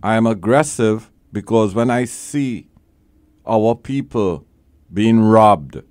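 A man's voice: fairly low pitch, darkish tone, no echo. A man hosting a call-in legal advice show as a lawyer, talking bluntly and with emphasis, repeating his key points.

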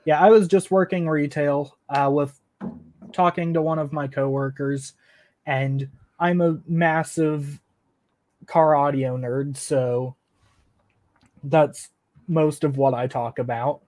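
A man's voice: a little high, steady tone, slow, long pauses, a little mellow. A young man, an engineering student, answering interview questions casually and hesitantly, with many fillers. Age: young adult. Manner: hesitant, filler-heavy, laughing at himself.

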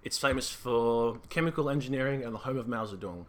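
A man's voice: medium pitch, brisk, noisy background. A young man from Australia, a guest chatting casually on a podcast, rambling and laughing often as he talks.

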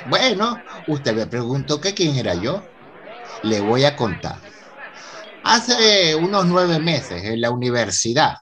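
A Venezuelan actor playing a polite, earnest professor in a radio soap opera, explaining things with hesitant stammers. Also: male voice, mid-range voice, very animated, takes it slowly, noisy background. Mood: informative, hesitant. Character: polite, earnest university professor and new son-in-law.

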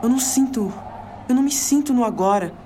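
A woman's voice: fairly high pitch, expressive, a little fast, mellow, lightly acted. Woman acting anxious, weary city commuter, noisy ambience. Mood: weary unease. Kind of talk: audio drama monologue. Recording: clear background noise with ambient sound.

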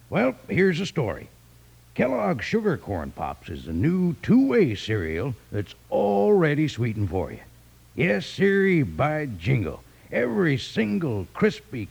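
A man playing a jolly, folksy cowboy pitchman in an old radio advertisement, gravelly and raspy, with exaggerated enthusiasm. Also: heavily acted.

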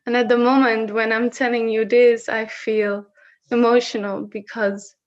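Woman, Dutch accent, unhurried, halting, reflective, dull video-call sound. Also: a little high, little pitch movement. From Netherlands. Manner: slow, searching, halting with restarts. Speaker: session facilitator. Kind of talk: personal reflective sharing on a group call.

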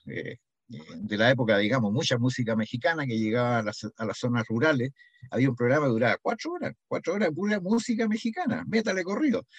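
A man's voice: medium pitch, no room sound, muffled recording. Older Chilean man reminiscing in conversation. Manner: explains with examples and frequent check-in questions. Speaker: interview guest, local heritage association member.

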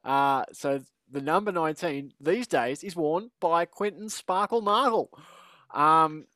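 A man's voice: on the high side, a little slow, sharp tone, dry recording, clean studio sound. Laughing man hosting a podcast. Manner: rambling, laughing, teasing. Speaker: podcast host. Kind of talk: casual chat.

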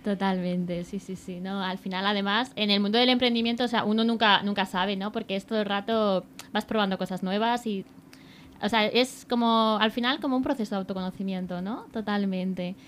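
A woman's voice: medium pitch, fairly even intonation, fairly quick, fairly bright tone. Female radio co-host, affirming and searching for words, faint noise. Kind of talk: casual radio interview.

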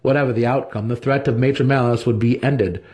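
A man's voice: medium pitch, steady tone, brisk, darkish tone. A man narrating a fantasy novel as a dramatic audiobook reading.

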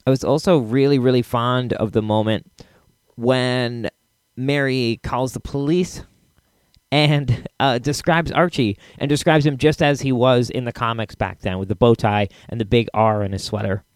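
A man's voice: medium pitch, no room sound. American male podcast host, medium register, keen and rambling casual delivery, dry close mic. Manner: enthusiastic, rambling, halting. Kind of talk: casual chat to listeners. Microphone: close podcast microphone.

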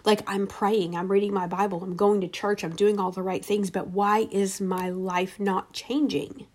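A woman's voice: mid-range voice, flat delivery, normal speed. A woman with a congested, nasal voice hosting a faith podcast, speaking conversationally and often checking in with "right?" and "okay?". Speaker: podcast host. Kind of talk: casual faith-based talk.

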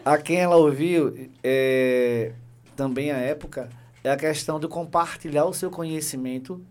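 Halting, joking middle-aged male host. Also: on the high side, a little mellow. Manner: halting, many fillers, joking asides. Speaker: podcast host, insurance team leader. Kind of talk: casual live podcast chat.

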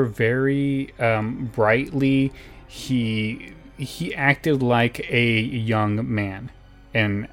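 Faint music plays under a male podcast host. His voice is medium in pitch. He chats informally and hesitantly, drifting through introductions and anecdotes. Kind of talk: casual introduction with rambling anecdotes.

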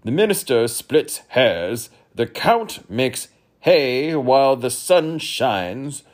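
A man voicing a huge, irritable old king who booms out slow, commanding orders. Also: sing-song. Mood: imperious.

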